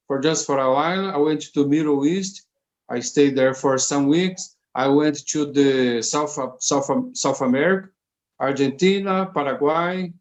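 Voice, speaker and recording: A man with a Brazilian Portuguese accent speaking English as a second language, halting and repeating words with many fillers in a casual interview. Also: fairly high pitch, a little mellow, highs cut off. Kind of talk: casual conversation.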